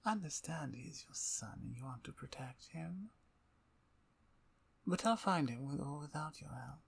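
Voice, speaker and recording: A woman playing a dominant, playful vampire conqueror in an ASMR roleplay, with a breathy, near-whispered voice and an imperious, taunting manner. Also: sits low, animated, moderate pace, full character voice. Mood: impatient, threatening.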